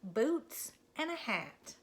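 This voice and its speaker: An eager storybook cow full of big ideas, played by a woman reading aloud. The voice is high and emphatic, explaining each scheme slowly and insistently. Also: big pitch swings, full character voice. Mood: eager, thoughtful. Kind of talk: children's storybook read-aloud.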